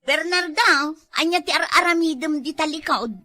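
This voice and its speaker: A woman's voice: high voice, normal speed, pushed and bright, dry recording. A woman with an Ilocano accent playing a bossy, nagging village wife in an amateur comedy drama. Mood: bossy, pleased. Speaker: actor in comedy skit.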